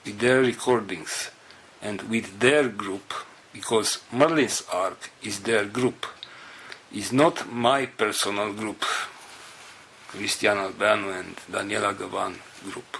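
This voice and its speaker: A man whose first language is Romanian, leading a spiritual group and giving an unscripted talk in slow, halting fragments. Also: lowish voice, vocal fry, fairly bright tone, slight background noise.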